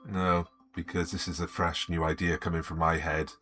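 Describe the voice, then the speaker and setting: An older man streaming live from his home studio, muttering to himself as he works, grumbling at his software and chatting with viewers. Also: deep voice, flat delivery, normal speed. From Wales. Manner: mutters to himself while working, grumbles, then greets chat viewers. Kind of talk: live art stream chat. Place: indoor home studio at a desk. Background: background music.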